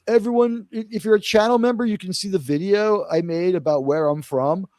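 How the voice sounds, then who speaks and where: A man's voice: high-pitched, brisk, warm tone, no room sound, clean studio sound. A man from Queens, New York hosting a live discussion show, blunt and opinionated, swearing freely. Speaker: show host. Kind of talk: casual live panel talk.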